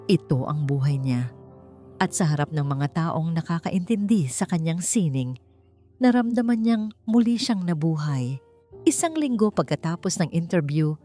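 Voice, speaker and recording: A woman's voice: lowish voice, a little mellow. A woman with a Filipino accent reads a scripted romance story as a dramatic narrator. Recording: music under the voice. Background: background music.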